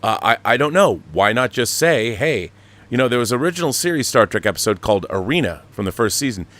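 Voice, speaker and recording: A man's voice: mid-range voice, very animated, fast, a bit bright. A man hosting a live talk show, giving emphatic, opinionated commentary with rhetorical questions.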